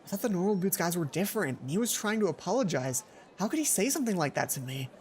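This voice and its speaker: A young adult man reading a visual novel aloud as a let's play host, expressive, often correcting himself and dropping in casual commentary. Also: sits high, fast, a little hiss or hum. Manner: expressive, self-correcting reader. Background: game music.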